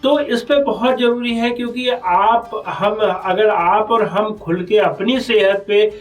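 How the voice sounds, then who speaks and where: A man's voice: high voice, slow pace, recorded from a distance, faint music behind. A man with a North Indian accent speaking as a doctor being interviewed, explaining health matters earnestly and persuasively, often repeating phrases.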